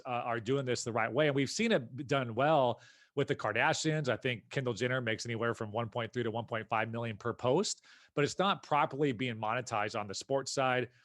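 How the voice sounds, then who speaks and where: An American man speaks as a guest on a podcast, in a conversational and opinionated way. His voice sits at an average male pitch, and he puts emphasis on his points. The sound is dry, with no room echo. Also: fairly bright tone. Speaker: podcast guest, company founder and CEO. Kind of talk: casual interview conversation.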